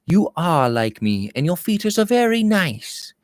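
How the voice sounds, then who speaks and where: A male reader plays a vain young Victorian lady of the manor. She prattles in a raised, lilting pitch that swings widely. Her manner is gushing and haughty, and the sound is dry and close. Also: big pitch swings, measured pace, dry recording. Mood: superb, prattling. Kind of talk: Victorian novel read aloud.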